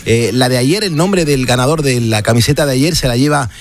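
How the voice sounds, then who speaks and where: A man's voice: medium pitch, a little fast. A man hosting a late-night radio show, talking casually with frequent fillers and restarts. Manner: chatty, hesitant fillers and restarts. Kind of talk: casual on-air chat and live ad read. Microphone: studio broadcast mic.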